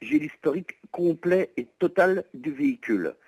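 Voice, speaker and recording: A man's voice: high-pitched, sing-song, normal speed, vocal fry, a little mellow, no room sound. A man explaining as a private seller on the phone, hesitant, wordy and polite. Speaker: private seller of a used van. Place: at home, on the phone. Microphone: telephone.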